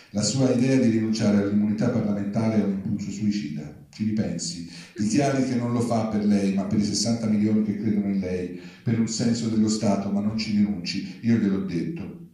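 A man with a low, mellow voice reads satirical letters aloud at a live event. His delivery is formal and deadpan. The sound is very reverberant, as in a big room. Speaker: reader at a public reading. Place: large indoor venue, live event. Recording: distant, very echoey hall.

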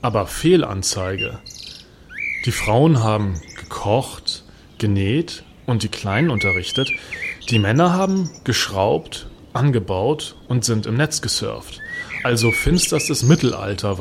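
A man playing a self-righteous political action artist in a satirical radio play, pompous and self-justifying in manner. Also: medium pitch. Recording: clear background noise with birdsong.

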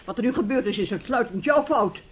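Husky, swooping, scolding mother. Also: a little high, expressive, a little fast. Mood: indignant, scolding. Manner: stern, reproachful, theatrical. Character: middle-aged mother and aunt, scolding, indignant, protective. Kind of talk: radio play acting in Dutch.

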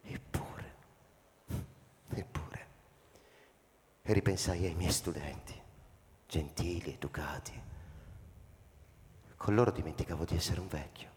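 An actor playing a pensive, weary old man who narrates his story slowly and haltingly in a dramatic radio reading. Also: sits low, in a whisper. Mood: brooding. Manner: slow, halting, introspective. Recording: long reverb, loud piano music behind.

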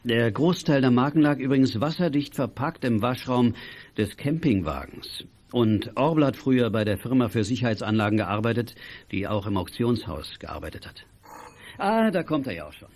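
An actor playing a friendly, calm father who helps child detectives by CB radio in a children's audio drama. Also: mid-range voice, moderate pace, a little hiss or hum, natural voice. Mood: relaxed, friendly. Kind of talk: 1980s German children's audio drama acting.

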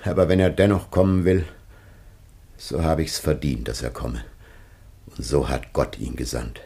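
An older man acting in a radio drama as a grieving, solemn old patriarch facing death, husky and gravely declamatory. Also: a bass voice, steady tone, a little slow, mellow, exaggerated voice. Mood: grave resignation.

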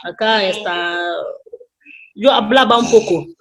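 A woman with a Guinean accent answers interview questions in simple, careful Spanish learned as a second language. She speaks at an unhurried, deliberate pace, at a middling pitch. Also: crowd noise, low-quality recording. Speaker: literacy student.

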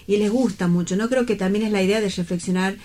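A woman's voice: medium pitch, quick pace, darkish tone. A woman with an Argentine accent hosts a casual round-table talk, speaking reflectively and often checking for agreement with little tag questions. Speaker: host of a round-table radio program.

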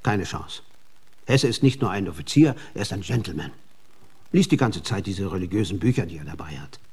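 An actor playing an evasive, persuasive touring showman of the Wild West in a German radio play, husky and slick. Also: low voice, lively intonation, brisk, a little mellow, full character voice. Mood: protective, earnest. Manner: exasperated, wheedling, slick dealmaker.

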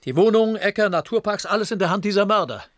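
An actor playing a tense, bitter officer under siege in a science-fiction radio play. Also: male voice, high-pitched, animated, quick pace, dry recording, clean studio sound. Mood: alarmed, bitter.

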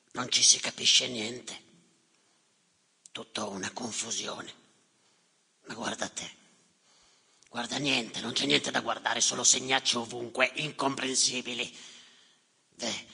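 A man playing a bitter, callous clerk in a theatrical monologue, muttering scornfully in a gravelly, raspy voice with long reverb around him. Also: bright, forceful tone, exaggerated voice. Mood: grumbling, irritated. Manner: muttering, scornful, erratic swings from low grumbles to outbursts. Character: bitter, callous, muttering male clerk tallying the drowned by number.

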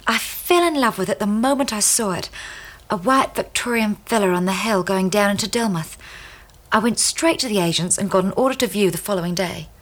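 An actress playing an unpretentious, impressionable young wife in a radio drama, earnest and emotional in manner. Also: female voice, medium pitch, brisk, a bit bright, lightly acted. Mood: wondering recollection. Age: young adult. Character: impressionable, anxious young married woman, unpretentious.

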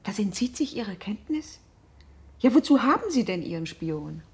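A woman's voice: mid-range voice, sing-song, moderate pace, a little mellow, slightly rough, exaggerated voice. An actress playing a demanding, sarcastic middle-aged mother in a radio drama, haughty and cutting with impatience. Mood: impatient, cutting. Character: demanding, sarcastic, imperious mother hiring a private detective. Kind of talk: German radio drama acting.

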